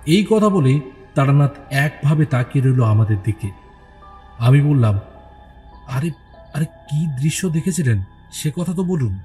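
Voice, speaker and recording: A man's voice: fairly high pitch, expressive, a little slow, long pauses, mellow. A man playing a curious, eager listener who narrates and speaks in an audio story. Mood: eager curiosity. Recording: background music under the voice.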